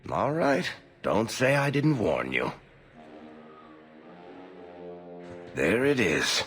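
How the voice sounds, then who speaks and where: An actor playing a roguish dwarf adventurer in a video game, sardonic and wisecracking. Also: male voice, fairly low pitch, wildly swooping pitch, a little slow, a bit bright, long reverb tail, faint music behind, heavily acted. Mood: wry, casual. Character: wry, roguish male dwarf adventurer and storyteller. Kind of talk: conversational video game acting.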